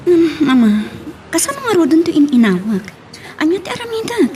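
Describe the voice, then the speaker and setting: A woman's voice: extremely high pitch, big pitch swings, normal speed, dark tone, recorded from a distance, slight background noise. A woman with an Ilocano Filipino accent playing a distressed, pleading young wife and daughter in an audio drama. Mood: distraught, tearful. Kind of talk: Ilocano radio-style drama acting.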